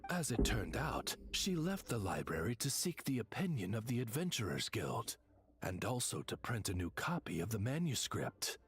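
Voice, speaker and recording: An actor playing a refined, deferential familiar in a video game, speaking formally as a loyal servant. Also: male voice, lowish voice, sing-song. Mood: calm, explanatory. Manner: courtly, measured, deferential. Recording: game audio with faint music.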